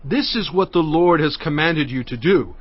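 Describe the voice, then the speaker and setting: A male actor plays a commanding male prophet in a dramatized scripture recording. His voice sits fairly high for a man, and he delivers solemn, measured orders with authority. The sound is dry and somewhat dull. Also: animated, no echo. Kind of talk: dramatized audio Bible acting.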